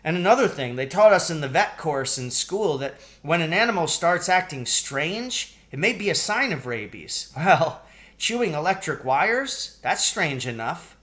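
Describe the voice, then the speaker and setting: A man's voice: fairly high pitch, sing-song, a little slow, fairly bright tone, pitched above the performer's own voice. A man voicing an earnest, know-it-all schoolboy son from a farm family in a storybook. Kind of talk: acted storybook dialogue.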